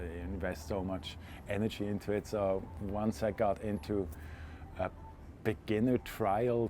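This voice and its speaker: Low mellow man in his forties. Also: a little hiss or hum. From Switzerland. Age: 40s. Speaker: interview guest, freediving instructor. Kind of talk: casual interview talk.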